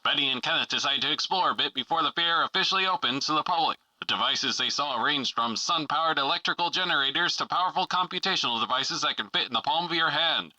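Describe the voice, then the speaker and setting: Male serial narrator, theatrical announcer style, very bright and forceful, fairly high for a man, over music. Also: quick pace, few pauses, low-quality recording. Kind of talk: dramatic scripted narration in old-time adventure serial manner.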